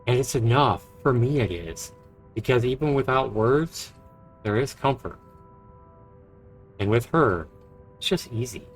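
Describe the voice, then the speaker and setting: An actor playing a brooding, guilt-ridden but dryly charming man in a visual novel, measured and reflective. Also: mid-range voice, lively intonation, lightly acted. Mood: wistful. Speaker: narrating protagonist. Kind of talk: visual novel voice acting, introspective narration and dialogue. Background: music.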